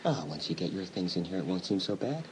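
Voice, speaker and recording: A man's voice: deep voice, very animated, fast. A man playing a teasing, nonchalant teenage stepbrother in an audio drama, casual and wry. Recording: faintly noisy old cassette.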